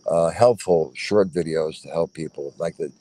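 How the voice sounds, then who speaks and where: A man joining a livestream chat as a guest over his phone, earnest and prone to repeating his points with halting restarts. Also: very deep voice, mellow. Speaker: guest, fellow show host.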